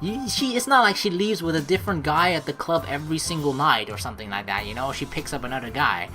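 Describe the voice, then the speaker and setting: A woman's voice: sits low, lively intonation, fast, sharp tone, soft background music. A young woman doing casual, sweary let's play commentary and reading a visual novel's narration aloud. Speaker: let's player.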